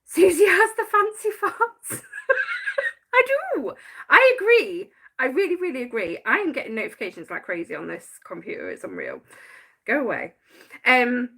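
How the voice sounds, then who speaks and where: A woman's voice: high-pitched, huge pitch swings, unhurried, sharp tone, breathy edge. A woman, chatting casually on a live stream about her notes and laughing often. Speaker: paranormal channel host.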